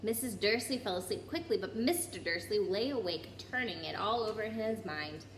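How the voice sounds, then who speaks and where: A woman reading a children's story aloud in an expressive way, with occasional small stumbles over the text. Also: medium pitch, moderate pace. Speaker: story reader. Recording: roomy echo, clear background noise.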